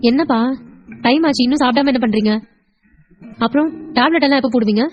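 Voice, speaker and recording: Woman acting an emotional young wife, high-pitched. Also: fast talker, highs cut off, lightly acted. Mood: concerned. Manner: emotional, earnest. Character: hurt, sensitive young wife and daughter. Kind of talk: Tamil audio story acting.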